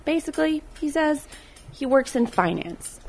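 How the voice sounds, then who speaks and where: A woman radio reporter narrating a news feature in a clear, measured way, with friendly questions in her interviews. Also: sits high, very animated.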